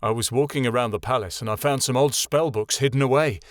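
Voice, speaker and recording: A man's voice: mid-range voice, quick pace, dry recording, studio quality, lightly acted. A man voicing a shy, resentful young warlock.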